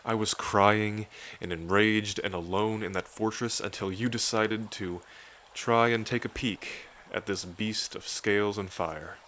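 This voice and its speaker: A man's voice: fairly low pitch, steady tone, a little slow, hardly any pauses, fairly bright tone. A man playing an affectionate dragon king in an intimate ASMR roleplay, speaking in a casual, tender way to a lover. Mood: grateful, earnest. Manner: tender, casual, hesitant when moved.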